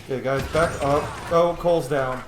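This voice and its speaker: A man's voice: fairly high pitch, fairly even intonation, moderate pace. A man giving casual, slangy, reactive commentary while playing a video game. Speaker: game streamer. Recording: roomy echo, faint noise.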